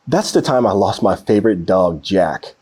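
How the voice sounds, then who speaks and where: A man voicing a gentle, nostalgic old grandfather in a storybook read-aloud. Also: sits low, animated, moderate pace, slightly rough. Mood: amused, nostalgic.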